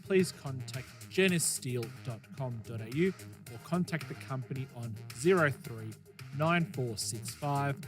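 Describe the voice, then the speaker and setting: A man reading a radio advertisement in a measured, formal way. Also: medium pitch. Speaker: advertisement announcer. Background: faint music.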